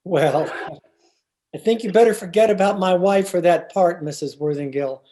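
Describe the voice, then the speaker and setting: An actor playing a weary, long-suffering husband in old-time radio comedy, with a dry manner. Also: male voice, sits high, moderate pace, dark tone, slight background noise, close to an everyday voice. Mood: polite, firm.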